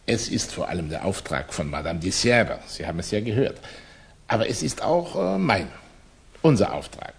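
An actor playing a paternal, self-important revolutionary leader in a 1960s German radio play, unctuous and commanding. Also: male voice, lowish voice, big pitch swings, normal speed, creaky, breathy edge, heavily acted. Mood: insistent.

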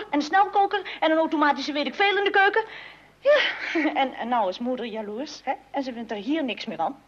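A dull, old radio recording of an eager, easily exasperated daughter in a family comedy. Her high voice swoops with emphasis as she insists on having her say. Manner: animated, emphatic, enumerating point by point. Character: eager, exasperated grown daughter of a chaotic household. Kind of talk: old-fashioned Dutch radio comedy acting.

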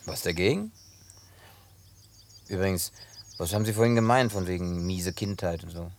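An actor playing a gruff, wary, plain-spoken American country man in a naturalistic German radio play, with a blunt, colloquial, teasing manner. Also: deep voice, normal speed, slight background noise. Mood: puzzled, prickly.

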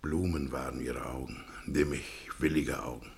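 A man's voice: extremely low pitch, fairly even intonation, moderate pace, gravelly, a little hiss or hum. An actor playing a sensual, rueful middle-aged man in a radio drama, murmuring and savoring his words. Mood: ardent, nostalgic.

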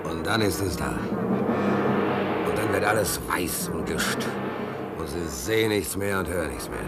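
A man acting an anxious, talkative seaman in a German radio play, urgently repeating himself, with dramatic intensity. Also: lowish voice. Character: anxious, talkative seaman called Piep, in storm and shipwreck. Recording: noisy old radio play recording.

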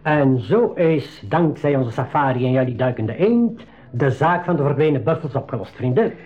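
An actor playing a confident, shrewd game reserve ranger with deliberate, formal diction in a 1980s school radio play. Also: male voice, medium pitch, lively intonation, natural voice. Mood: decisive. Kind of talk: 1980s Dutch-language school radio drama acting. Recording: noisy, dull 1980s radio recording.

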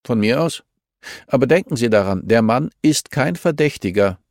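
An audiobook figure of a stern male judge acted out by a man. He is firm and clipped, warning and reluctant to give ground. The voice is lifted above the reader's low narration, in a dry recording. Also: lively intonation, normal speed, darkish tone, no room sound, lightly acted.

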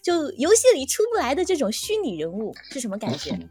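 A woman's voice: high voice, wildly swooping pitch, fast, a little mellow, slightly rough, soft background music. A woman hosting a casual interview chat among guests. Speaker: host.

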